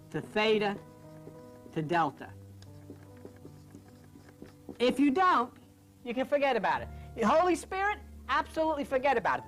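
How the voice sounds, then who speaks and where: A woman giving an informal spiritual lecture in an emphatic, insistent way, repeating phrases for weight. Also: on the high side. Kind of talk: informal lecture on meditation and religion.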